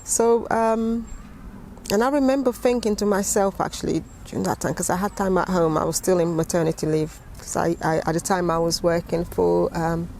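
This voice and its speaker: A middle-aged London woman with a slightly low voice speaks as a guest in a conversational interview. She recounts things haltingly, often doubling back on words, over noticeable background noise. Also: little pitch movement, creaky, a little mellow. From West London, England. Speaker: interview guest, gardening teacher.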